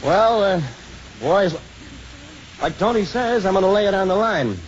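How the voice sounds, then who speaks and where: Kindly priest in muffled old radio. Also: male voice, sits high, expressive, unhurried. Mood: easygoing persuasion. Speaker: radio actor. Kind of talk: 1950s radio acting. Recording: noisy muffled 1950s radio broadcast.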